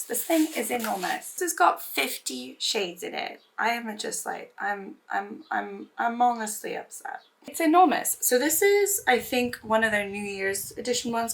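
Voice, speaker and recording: Woman, hoarse and gravelly from recent voice loss, casual rambling beauty vlogger. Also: on the high side, a bit bright, a little hiss or hum. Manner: rambling, self-deprecating, many fillers and restarts. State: recovering from lost voice, hoarse. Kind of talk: casual get-ready-with-me chat.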